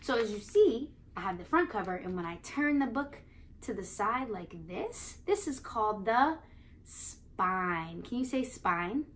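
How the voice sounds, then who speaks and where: A young adult woman with an American accent, reading aloud and explaining to young children in an expressive, playful, sing-song manner. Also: mid-range voice, unhurried, a little hiss or hum. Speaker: children's read-aloud host and teacher. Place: small living room, indoors on a couch.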